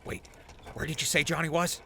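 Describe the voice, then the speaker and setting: A man's voice: fairly high pitch, little pitch movement, brisk, creaky, noisy background. A man acting as an anxious, bewildered prisoner in an audio drama, asking urgent, faltering questions. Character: anxious, bewildered male captive of raiders.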